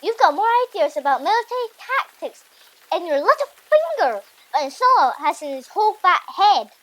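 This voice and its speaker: A woman voicing an earnest, anxious and loyal young Viking boy in an audiobook, with an expressive delivery. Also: very high-pitched, moderate pace, exaggerated voice, low-quality recording. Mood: earnest, encouraging. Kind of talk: audiobook character acting.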